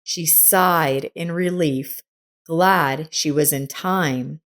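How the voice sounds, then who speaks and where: A woman reading a scripted story in a measured storytelling manner. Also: deep voice, fairly bright tone. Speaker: story narrator. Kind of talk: scripted audiobook-style narration.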